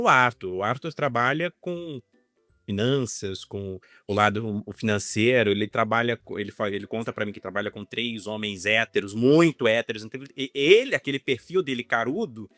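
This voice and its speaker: A man with a Brazilian accent chatting casually as a podcast co-host, halting and repeating words as he thinks. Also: on the low side, animated, a bit bright, dry recording, soft background music. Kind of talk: casual conversational podcast talk.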